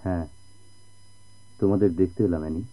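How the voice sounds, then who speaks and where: Deep, husky, gentle family friend. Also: male voice, fairly even intonation, fairly quick. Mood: gentle, sympathetic. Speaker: radio drama actor. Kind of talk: Bengali radio drama acting. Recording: muffled old radio drama recording.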